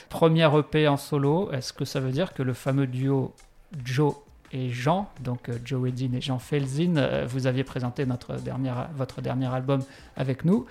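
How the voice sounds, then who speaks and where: Gravelly, chatty male radio host. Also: medium pitch, a little mellow. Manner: chatty, jokey, repeats words. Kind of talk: radio interview.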